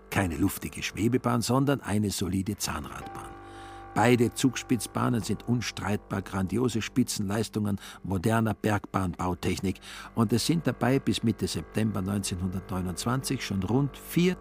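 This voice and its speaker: A man's voice: lowish voice, unhurried, vocal fry, soft background music. A man narrating a literary radio play as a scripted story.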